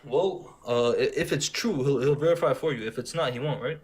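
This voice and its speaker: A man's voice: medium pitch, fairly quick, slightly rough. A young man from Syria, raised near Detroit, with a slight Arabic accent, calling in to a casual debate, rambling and repeating himself insistently. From born in Syria, raised in Detroit area. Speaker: caller.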